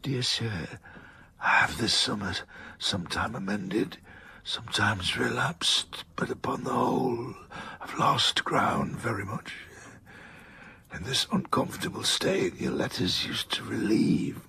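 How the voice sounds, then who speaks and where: A man's voice: on the low side, unhurried, gravelly, sharp tone, slightly rough, no echo, full character voice. An actor playing an ailing, proud old man of letters in a period radio drama, speaking formally and emphatically. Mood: weary, fretful. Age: older.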